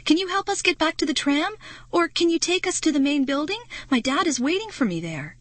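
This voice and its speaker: A woman's voice: on the high side, lively intonation, moderate pace, dry recording. A woman voicing a frightened young boy who pleads and screams in desperation. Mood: pleading.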